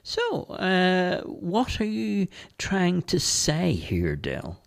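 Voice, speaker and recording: A man's voice: high voice, huge pitch swings, extremely slow, vocal fry, pitched above the performer's own voice, full character voice. A man voicing Father Saul, a weary, kindly priest who speaks piously and sighs at his lot. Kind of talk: amateur play read aloud.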